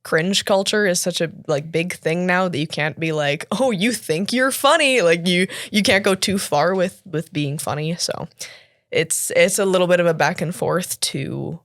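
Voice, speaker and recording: A Canadian woman in her twenties, an actor and cosplayer, chatting as an interview guest with a deeper voice than expected, laughing often and full of fillers. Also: normal speed, dry recording, studio quality. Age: 20s. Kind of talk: casual interview chat.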